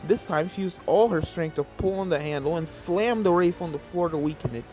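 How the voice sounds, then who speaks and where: A man with a somewhat high voice reads fan fiction aloud. The narration is scripted and dramatic, with music playing faintly behind him. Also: brisk, darkish tone.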